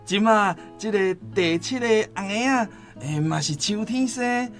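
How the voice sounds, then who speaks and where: A man's voice: high-pitched, expressive, a little slow. An actor playing an easygoing, soothing father of many daughters in a Taiwanese Hokkien radio drama. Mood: tender, reassuring. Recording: music behind.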